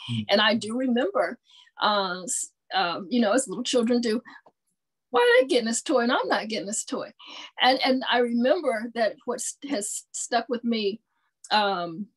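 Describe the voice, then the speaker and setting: A woman's voice: a little high, slightly rough, low-quality recording. A middle-aged woman from the American South, speaking as a panelist on a video call and telling a childhood story with many fillers. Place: home room with bookshelves. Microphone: video call webcam.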